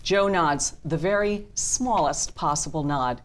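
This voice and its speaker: A woman serves as narrator for a script reading. Her voice sits a little low for a woman as she reads out scene and action descriptions. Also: measured pace. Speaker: narrator reading stage directions.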